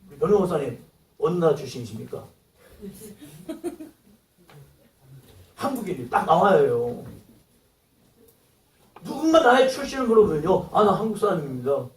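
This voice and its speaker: Male pastor, sermon delivery, high and animated, plays out dialogue, distant mic in a reverberant hall. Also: a little slow, long pauses. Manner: acts out quoted dialogue, rising to exclamations.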